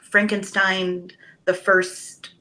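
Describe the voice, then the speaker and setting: A woman, a Broadway actress, chatting as a podcast guest, telling stories with lively enthusiasm and the odd casual swear word. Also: medium pitch, drawn-out words, low-quality recording. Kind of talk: casual podcast interview chat.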